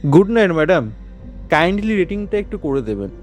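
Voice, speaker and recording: A man's voice: high voice, very animated, fairly quick, a little mellow, soft background music. A man playing a courteous, subdued young food delivery rider in an audio drama, with a polite, matter-of-fact way of talking. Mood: polite, routine. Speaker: voice actor in an audio story. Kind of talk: dramatized audio horror story dialogue.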